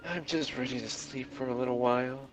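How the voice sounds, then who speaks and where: A man's voice: mid-range voice, fairly even intonation, a little fast, soft background music, natural voice, rough recording. A man voicing a tired young woman college student, terse and understated, in a fan fiction reading. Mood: weary.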